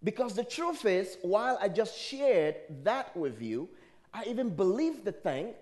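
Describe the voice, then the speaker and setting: Animated, high-pitched man with Liberian accent. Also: unhurried. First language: Liberian dialect. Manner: emphatic, rhetorical, questioning the audience. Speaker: spiritual speaker. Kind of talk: motivational sermon to a live audience.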